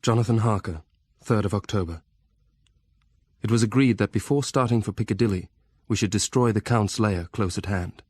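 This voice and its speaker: Male narrator, fairly deep, for dramatic gothic storytelling, recorded dry. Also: moderate pace, a little mellow, breathy edge, no room sound.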